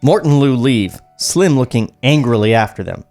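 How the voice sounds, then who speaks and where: A man's voice: mid-range voice, animated, moderate pace, darkish tone. A man reading screenplay stage directions at a podcast table read, with joking asides. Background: background music.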